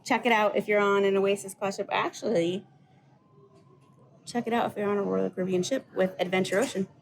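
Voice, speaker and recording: A woman's voice: medium pitch, fairly even intonation, brisk. A woman hosting a casual vlog, chatting, asking questions and echoing the answers she gets. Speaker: vlog host, interviewing child. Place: aboard a cruise ship. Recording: clearly noisy ship surroundings.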